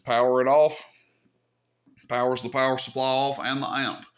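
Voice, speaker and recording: A man's voice: mid-range voice, level tone, normal speed. A man casually demonstrating equipment as a hobby host, talking in a folksy, easygoing way as he thinks aloud. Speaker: host of a CB radio hobby channel.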